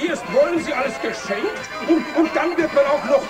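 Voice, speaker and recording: A man's voice: very high-pitched, animated, normal speed. A man playing a pushy, indignant market hawker, blustering and calling out in a children's radio play. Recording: echoey, loud crowd and music behind.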